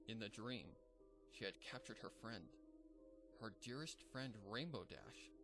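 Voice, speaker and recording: A man narrating a dark fan fiction story in a measured, somber reading. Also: on the low side, animated, over music. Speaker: fanfic narrator.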